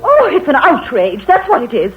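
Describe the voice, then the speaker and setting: A woman's voice: sits high, huge pitch swings, moderate pace, over loud music. An actress playing an indignant, snobbish older mother who complains in a huffy, theatrical way, in a 1940s radio drama. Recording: dull old radio broadcast recording.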